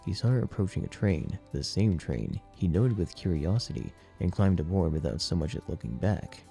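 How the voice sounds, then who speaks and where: A man narrating dramatic fiction in a solemn, deliberate storytelling manner. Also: low voice, very animated, vocal fry, dark tone. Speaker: audiobook narrator. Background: music.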